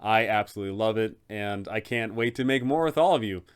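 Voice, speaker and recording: A man's voice: on the low side, fairly quick, clean tone. Man narrating a tabletop game guide. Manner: addresses the viewer directly, poses rhetorical questions. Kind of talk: explanatory video narration with advice for game masters.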